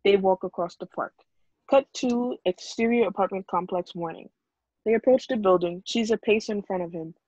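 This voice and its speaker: A lo-fi, close and dry recording of a woman reading a screenplay's directions. Her voice sits at a middle pitch. She narrates the action as written. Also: normal speed, darkish tone, no room sound. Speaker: table read narrator reading stage directions. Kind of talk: scripted narration read aloud. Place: online video call. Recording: dull lo-fi video call audio.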